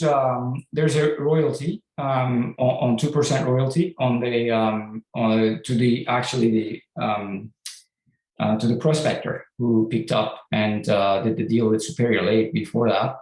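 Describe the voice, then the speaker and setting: A man's voice: mid-range voice, fairly even intonation, unhurried, a little mellow. A man from Turkey, a company CEO answering conference questions in a hesitant, explanatory way with many restarts. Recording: echoey room.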